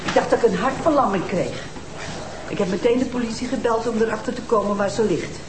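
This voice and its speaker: A woman's voice: on the high side, fairly quick, noisy background, natural voice. A woman acting an outspoken, snobbish sister of a murder victim, indignant and scornful in a TV drama. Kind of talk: naturalistic TV crime drama dialogue.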